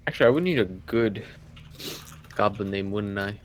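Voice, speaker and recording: A man's voice: on the low side, moderate pace, slight background noise. A man playing a tabletop role-playing game, chatting casually and joking with the group.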